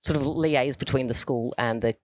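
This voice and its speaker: A woman's voice: rumbling low, moderate pace, dry recording. This is a woman, giving interview answers as a teacher. She comes across as earnest and thoughtful. The sound is dry, with hardly any room around her voice. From New Zealand.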